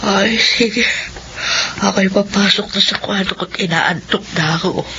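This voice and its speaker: A woman's voice: medium pitch, a little slow, natural voice. A middle-aged Filipino woman acting in a radio drama as a caring, homely mother who gives motherly instructions. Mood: caring, drowsy. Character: caring, sleepy mother minding her household. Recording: dull lo-fi audio, clear background noise.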